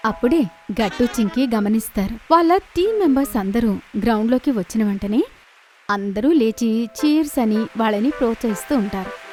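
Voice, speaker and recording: Lady narrating a kids cartoon story, highish voice, bit of music behind. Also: lively intonation, normal speed, darkish tone. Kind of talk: scripted children's story narration.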